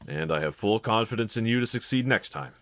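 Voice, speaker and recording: A man playing a gentle, encouraging husband in an intimate scripted roleplay audio, speaking in a considerate, reassuring manner. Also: fairly low pitch, lively intonation, fairly quick, no echo. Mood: encouraging, supportive. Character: gentle, encouraging adult husband, calm and caring. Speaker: character audio voice actor.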